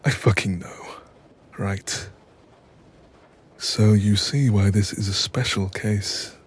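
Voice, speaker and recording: A man playing an apologetic, exasperated mafia boss in an audio roleplay, with a husky voice and a wry, sweary, chatty manner. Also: lowish voice, steady tone, slow pace. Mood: gossipy, indignant. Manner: wry, sweary, chatty, calls listener doll. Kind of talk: audio roleplay acting, intimate one-sided dialogue.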